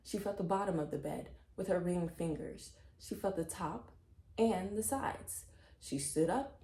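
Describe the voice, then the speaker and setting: Woman reading a kids chapter book aloud, expressive, lowish mellow voice, bit of room echo. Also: measured pace. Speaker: children's book narrator. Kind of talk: expressive scripted story reading.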